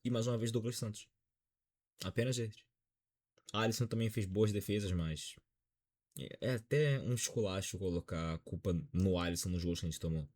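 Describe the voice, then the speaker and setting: A man's voice: fairly low pitch, moderate pace, long pauses, darkish tone. A Brazilian man in his twenties with a Brazilian accent, giving a dejected, frank football opinion vlog. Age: 20s. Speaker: football commentator.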